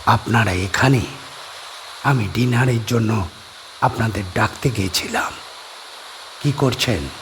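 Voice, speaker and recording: A man acts out a reserved caretaker of a lonely seaside bungalow in an audio horror drama. He speaks low and very slowly, measuring every word as he warns his guests. A faint hiss sits under the voice. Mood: guarded, polite. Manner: slow, measured, cautioning.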